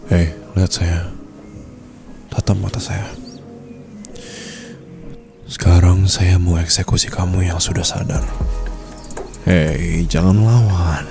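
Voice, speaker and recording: A man's voice: low-pitched, fairly even intonation, slow pace, creaky, pauses often, music in the background, heavily acted. A man playing a strict, flirty and possessive male office boss in an intimate ASMR roleplay, commanding and teasing, with questioning tags. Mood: firm, low.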